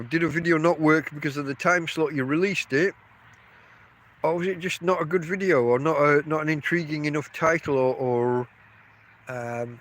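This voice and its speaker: A man's voice: fairly high pitch, fairly bright tone. Rambling stuffy-nosed man. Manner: rambling, chatty, self-interrupting. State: congested from a cold. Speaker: podcast host. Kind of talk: rambling solo podcast monologue. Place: outdoors at a tent camp in a field.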